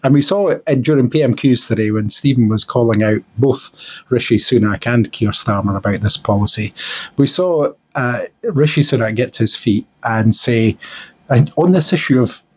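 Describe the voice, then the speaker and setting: A Scottish man hosts a political chat in a dark, mellow voice with a husky edge. He talks with emphasis, often halting and rephrasing. The audio is dull and lo-fi. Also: mid-range voice. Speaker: political podcast host. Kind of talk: political discussion, conversational.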